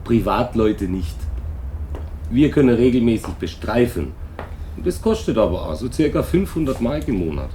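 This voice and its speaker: A man playing an unhurried small-town policeman in a German radio drama, colloquial, placating and dismissive. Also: mid-range voice, sing-song, mellow, a little hiss or hum, close to an everyday voice. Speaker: actor in a radio play.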